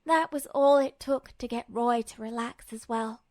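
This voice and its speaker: High-voiced woman narrating a story. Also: moderate pace, darkish tone, no echo, studio quality. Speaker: fan fiction narrator. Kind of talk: expressive scripted story narration.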